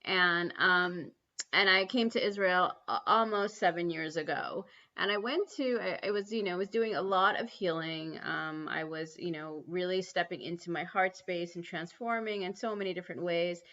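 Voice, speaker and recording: Bright-voiced woman on a lo-fi livestream. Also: on the low side, normal speed. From New York City. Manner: earnest, many ums and restarts. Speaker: livestream host. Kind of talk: spiritual livestream talk and guided prayer. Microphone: computer mic on a livestream. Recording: dull lo-fi livestream audio.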